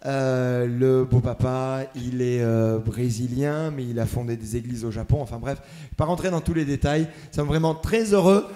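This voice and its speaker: A man leading a church service, giving warm welcomes, announcements and prayers with a hesitant, pausing delivery. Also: mid-range voice, steady tone. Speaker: church leader welcoming the congregation.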